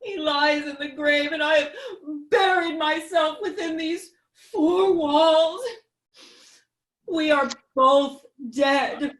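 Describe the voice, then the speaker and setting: Woman reading a weeping young widow, high and slow, theatrical grief; home video call, far from the mic. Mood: weeping despair. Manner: tearful, sobbing, theatrical. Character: grieving young widow, melodramatic, shut away. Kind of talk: staged play reading over video call. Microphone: home computer on a video call. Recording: echoey room, far from the mic.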